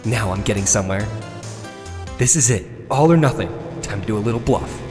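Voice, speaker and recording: A man's voice: fairly low pitch, lively intonation, brisk, a little mellow, soft background music, close to an everyday voice. A man voicing an eager young defense lawyer in a theatrical video game fan dub, dramatic, with wry, exasperated asides. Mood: determined, bluffing.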